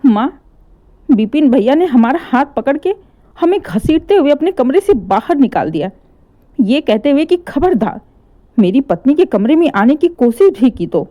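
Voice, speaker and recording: A woman's voice: sits high, very animated, normal speed, mellow, pitched above the performer's own voice. A woman voicing a young married daughter who cries and accuses her brother. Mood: tearful. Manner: accusing, crying.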